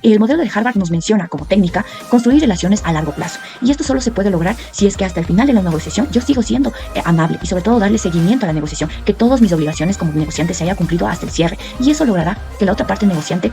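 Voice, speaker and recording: Fast-talking woman presenting, mellow voice. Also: mid-range voice, expressive, faint music behind. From Ecuador. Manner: didactic, enumerating points. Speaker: university student presenter. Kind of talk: explanatory academic presentation.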